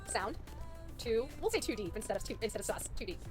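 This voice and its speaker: A woman's voice: medium pitch, lively intonation, fast talker, faint music behind. A woman hosting a livestream, giving opinionated, casual true-crime commentary in a blunt, emphatic, rambling and chatty way. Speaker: livestream host.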